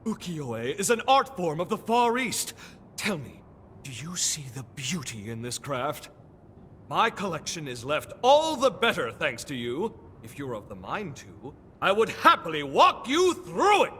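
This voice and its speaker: A man's voice: fairly high pitch, wildly swooping pitch, a little slow, sharp tone, slight background noise, heavily acted. An actor playing a flamboyant, centuries-old nobleman in a video game, with a theatrical, courtly and pompous delivery. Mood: courtly, delighted. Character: flamboyant, noble, centuries-old immortal man, grandiose and devoted. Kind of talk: video game character acting.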